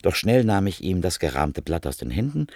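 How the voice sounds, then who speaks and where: A man narrates a literary radio play in a grave, measured way. His voice is low and gravelly, with a husky edge. His intonation swings widely, and the sound is close and dry. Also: big pitch swings, darkish tone, no room sound.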